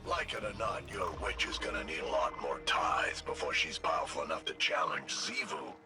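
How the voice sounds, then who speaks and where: An actor playing a sly, mocking monstrous villain in a video game, drawling out sardonic taunts. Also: male voice, extremely low pitch, lively intonation, moderate pace, loud music behind the voice. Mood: mocking, goading. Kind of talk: dramatic video game acting.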